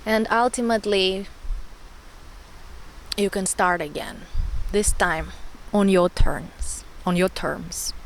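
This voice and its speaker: A young woman hosting a spiritual vlog, talking warmly and encouragingly, repeating words and laughing often. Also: mid-range voice, slight background noise, rough recording. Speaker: spiritual channel host. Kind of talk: personal spiritual vlog monologue.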